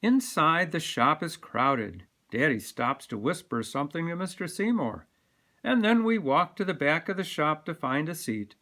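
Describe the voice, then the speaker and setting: Bright-voiced man reading a children's story. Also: a little high, very animated, moderate pace. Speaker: volunteer story reader. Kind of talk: read-aloud children's storytelling.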